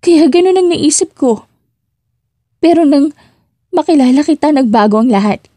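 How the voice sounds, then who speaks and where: A woman's voice: high-pitched, animated, normal speed, mellow, no echo, studio quality, pitched above the performer's own voice. A woman voicing a distraught young female secret agent in an audiobook drama, pleading in an emphatic, panicked manner. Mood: tearful, pleading.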